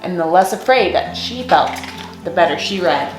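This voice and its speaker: A young woman reading a children's picture book aloud as a storyteller, with deliberate, expressive phrasing in a small room. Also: fairly low pitch. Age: young adult. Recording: roomy echo, faint music underneath.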